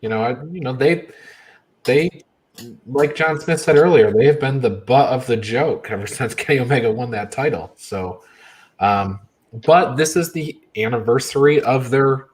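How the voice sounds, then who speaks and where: Male podcast panelist, medium pitch, casual and tentative, thinking aloud. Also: normal speed. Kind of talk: casual sports chat.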